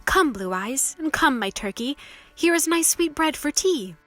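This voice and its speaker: A woman's voice: sits high, animated, a little slow, faint music behind, voice pushed up. A woman voicing a loving mother of small children in a storybook, tender and earnest. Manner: tender, gentle.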